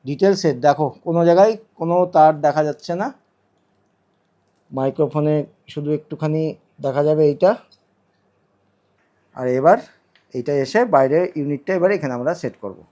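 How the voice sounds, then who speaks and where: A man's voice: a little high, dark tone. A man giving a casual hands-on tutorial, explaining step by step and often repeating his phrases. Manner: instructive, repeats phrases while demonstrating. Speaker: vlogger demonstrating product installation.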